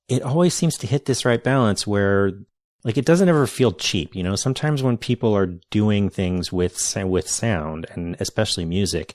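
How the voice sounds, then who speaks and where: A man's voice: lowish voice, a little mellow, dry recording, clean studio sound. A man hosting a podcast interview, enthusiastic and searching for words with frequent restarts. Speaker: podcast host. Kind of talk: casual interview.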